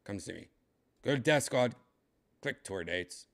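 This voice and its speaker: Raspy, slow-talking tipsy man. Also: lowish voice, expressive, a bit bright. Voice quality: raspy, dry throat. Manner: rambling, slow, clears throat often. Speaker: comedian streaming host. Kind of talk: casual livestream chat.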